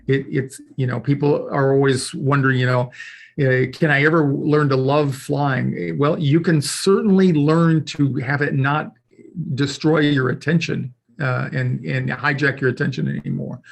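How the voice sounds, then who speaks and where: Man, gravelly, mid-pitched, reassuring coach, live web show talk. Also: steady tone, moderate pace. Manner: coaching, reassuring explanations with restarts. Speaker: fear-of-flying course leader.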